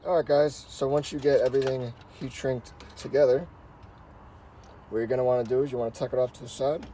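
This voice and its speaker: A man's voice: mid-range voice, fairly even intonation, fairly quick, dark tone, a little hiss or hum. A man hosting a how-to video, talking casually and informally as he explains each step with repeated instructional phrases. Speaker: host of a how-to car channel. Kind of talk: casual hands-on tutorial talk.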